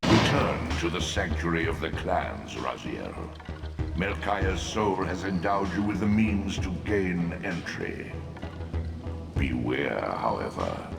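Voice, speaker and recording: Abyssally deep, echoing ancient spirit. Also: male voice, animated, vocal fry, over music, full character voice. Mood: commanding, approving. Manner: grandiose, measured, ominous pronouncements. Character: ancient godlike spirit mentor, solemn and commanding. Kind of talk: theatrical video game voice acting.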